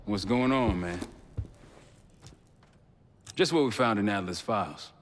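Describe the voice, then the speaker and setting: An actor with an American accent playing a streetwise, level-headed intelligence operative in a video game, talking casually. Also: male voice, fairly low pitch, expressive, a little slow, long reverb tail, slight background noise. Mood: measured. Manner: casual, colloquial. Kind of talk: naturalistic video game dialogue.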